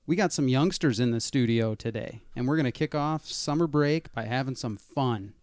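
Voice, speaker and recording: A man hosting a casual podcast in a small studio, greeting listeners with energetic showmanship. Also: mid-range voice, normal speed, dry recording. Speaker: podcast host.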